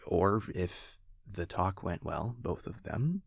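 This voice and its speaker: A man playing an affectionate, wry vampire boyfriend in an intimate audio roleplay, speaking casually and hesitantly in a low, gravelly voice. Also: expressive, takes it slowly, no echo, studio quality, heavily acted. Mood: thoughtful, hesitant. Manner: hesitant, casual, softly teasing. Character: affectionate, wry male vampire boyfriend, self-deprecating and easygoing.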